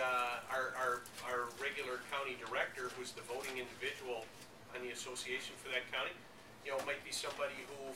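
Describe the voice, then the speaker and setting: A man explaining things to a workshop in a halting way, often repeating and rephrasing himself. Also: mid-range voice. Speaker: association president presenting a workshop. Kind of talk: explanatory workshop talk with audience questions. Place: indoor conference workshop room. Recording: echoey, noisy room, distant microphone.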